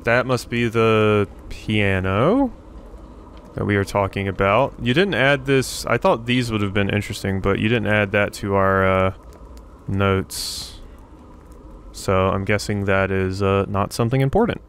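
A man's voice: lowish voice, measured pace, fairly bright tone. A man giving casual let's-play commentary, thinking aloud in a rambling way and often repeating his phrases. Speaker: gaming YouTuber, let's player. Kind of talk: casual video game commentary. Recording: faint game ambience noise. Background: game ambience.

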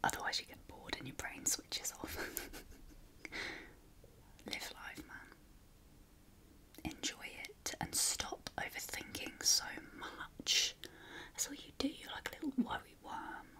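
A British woman speaking in a breathy whisper, giving encouraging, affectionate ASMR affirmations in an informal way. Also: takes it slowly, long pauses, pushed and bright. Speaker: ASMR host.

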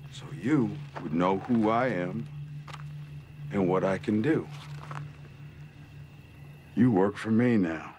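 An actor with an American accent playing a smug, cruel middle-aged gang leader in a TV drama, drawling and mocking with deliberate pauses. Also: male voice, fairly low pitch, a little mellow. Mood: smug, threatening. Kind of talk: television drama villain monologue. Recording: TV drama clip with noticeable background noise.

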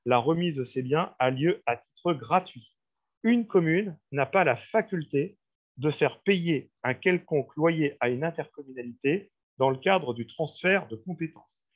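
A man giving a formal, didactic training presentation on a video call, explaining slides and addressing his listeners directly. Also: fairly high pitch. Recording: dull, lo-fi video-call audio.

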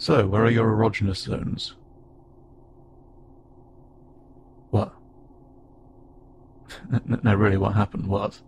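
A man voicing a friendly, curious, slightly awkward alien spacefarer in a conversational audio roleplay, speaking haltingly and wryly. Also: on the low side, level tone, background noise, close to an everyday voice. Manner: casual, halting, gently wry. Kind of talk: conversational audio roleplay acting to an unheard listener.

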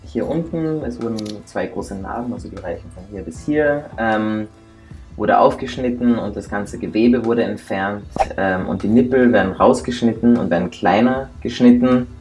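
A man in his thirties calmly and reflectively telling his personal story to camera in a studio. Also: lowish voice, moderate pace, faint music behind. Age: 30s. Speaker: interviewee. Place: indoor studio set, seated.

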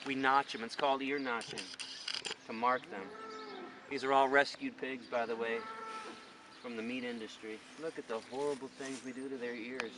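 An American man speaks as an animal advocate in an informal, insistent style. He leans on repetition and rhetorical questions. The recording is made out in a field, with clear background noise around his voice. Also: mid-range voice, steady tone, moderate pace. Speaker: animal rights advocate presenting a farm sanctuary. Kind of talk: informal on-camera commentary. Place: open farm field outdoors. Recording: noisy outdoor farm location sound.

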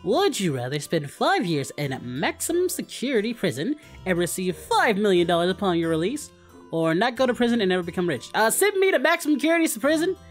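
A man's voice: high voice, very animated, quick pace, faint music behind. A man voicing a parody of an anime martial-arts hero, cocky, playful and flirty, in improvised banter. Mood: cocky, excited. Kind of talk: improvised in-character gaming banter.

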